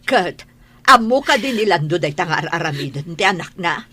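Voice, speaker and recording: A woman's voice: on the low side, expressive, fairly quick, bright, forceful tone, slightly rough, dry recording, heavily acted. A woman acting a concerned older mother in a radio drama, coaxing and sighing, with an Ilocano accent. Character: concerned older mother, reassuring yet meddling.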